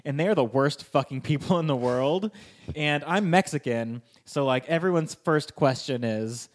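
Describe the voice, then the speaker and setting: A man's voice: a little high, normal speed. A young man from California, a guest on a podcast, telling stories in a rambling way and saying "like" constantly. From Sacramento, California, US. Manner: rambling storytelling, heavy use of "like". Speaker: guest, improv performer and writer. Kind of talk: casual podcast chat.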